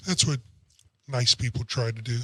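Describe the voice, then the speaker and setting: A man co-hosting a casual sports podcast, blunt and opinionated, with a deep throaty voice and casual cursing. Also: normal speed, a bit bright, breathy edge.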